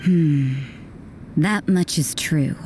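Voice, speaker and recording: An actress playing a stern, dutiful young investigator in an anime video game, speaking in a measured, formal, authoritative way. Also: female voice, deep voice, slight background noise. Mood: cool, businesslike. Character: stern, stubborn, dutiful young female investigator, coolly guarded. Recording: loud background music under the voice.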